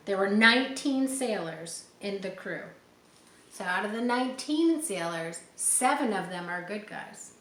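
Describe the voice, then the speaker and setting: A woman's voice: medium pitch, a bit bright. A woman narrating an adventure story for children, reading expressively and adding simple explanatory asides. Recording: echoey room with faint background noise.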